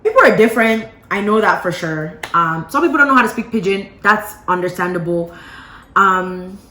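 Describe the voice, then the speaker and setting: A woman vlogger casually telling a gossipy story to her viewers, animated and full of playful asides. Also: mid-range voice, moderate pace. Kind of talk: casual gossip chat to camera. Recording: roomy echo.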